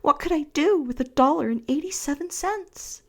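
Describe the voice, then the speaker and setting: A woman's voice: high-pitched, animated, normal speed, voice pushed up. A woman voicing an anxious, loving young wife who talks in a breathless, pleading way. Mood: worried.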